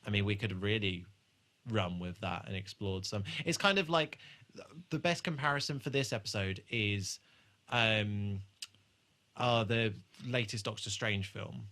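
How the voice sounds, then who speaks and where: Low-voiced man hosting a podcast. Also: measured pace, sharp tone. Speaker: podcast host. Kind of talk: casual episode discussion.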